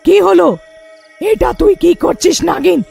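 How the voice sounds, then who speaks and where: A woman's voice: very high voice, measured pace, creaky, darkish tone, soft background music, voice pushed up, heavily acted. A woman voicing an angry female witch ghost in a fairy tale, accusing and confrontational.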